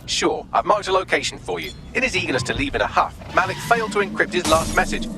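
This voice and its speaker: An actor playing a sarcastic British artificial intelligence assistant in a video game, flippant and wordy. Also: male voice, high-pitched, fast talker, creaky, over music. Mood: sardonic.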